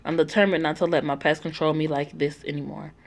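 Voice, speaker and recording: A woman's voice: deep voice, flat delivery, fast talker. A woman reading a story game aloud, voicing every part and breaking off for joking asides. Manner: reads all parts, breaks off with joking asides. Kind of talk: casual read-aloud with asides.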